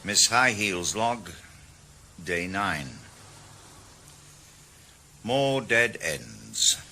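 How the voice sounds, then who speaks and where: An actor playing a wry, sardonic retired detective turned private eye, in a 1980s radio drama. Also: male voice, deep voice, sharp tone, slight background noise, heavily acted. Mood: weary, rueful. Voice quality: dry, sardonic. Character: retired ex-detective, wry middle-aged Welshman. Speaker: private investigator.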